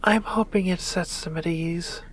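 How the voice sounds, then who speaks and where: A woman playing a composed succubus demon doctor in an ASMR roleplay, breathy, measured and dryly teasing. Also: lowish voice, fairly even intonation, slight background noise, natural voice, poor audio. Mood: businesslike.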